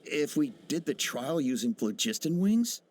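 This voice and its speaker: A hesitant young candidate. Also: male voice, fairly high pitch, very animated, unhurried, a little mellow. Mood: doubtful. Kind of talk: video game cutscene dialogue.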